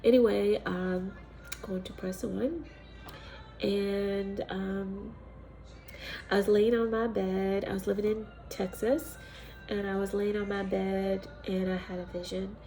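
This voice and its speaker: A woman's voice: medium pitch, level tone, takes it slowly, warm tone, faint music behind. A woman with a cold and a stuffy, congested voice, chatting casually as a missionary telling her story, rambling with ums and little laughs. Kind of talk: casual personal testimony vlog.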